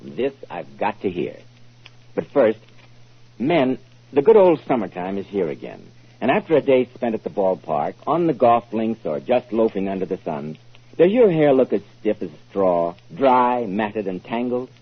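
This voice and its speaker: Old time radio announcer guy, polished pitchman delivery, super animated intonation, muffled vintage recording. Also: normal speed, breathy edge. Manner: emphatic, polished salesman delivery.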